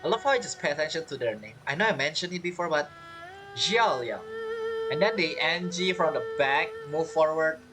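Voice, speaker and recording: A man giving casual let's play commentary as a streamer, halting and repeating his phrases as he reacts to the characters. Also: on the high side, lively intonation, over music, poor audio. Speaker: game streamer.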